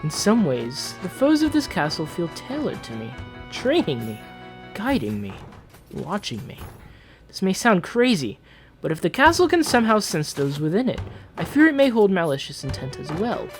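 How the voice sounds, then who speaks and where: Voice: medium pitch, wildly swooping pitch, moderate pace, music in the background. A performer voicing a proud, entitled eldest prince, reading fantasy journal entries in a formal, theatrical manner. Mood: uneasy, haughty. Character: Prince Johannes, proud, haughty, entitled eldest royal son on a quest. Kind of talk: dramatic fantasy journal narration, fan dub.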